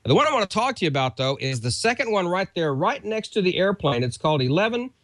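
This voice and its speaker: A man's voice: sits high, sing-song, quick pace, fairly bright tone, dry recording. A man co-hosting a show, giving a casual, conversational sponsor read that rambles a little. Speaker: show co-host.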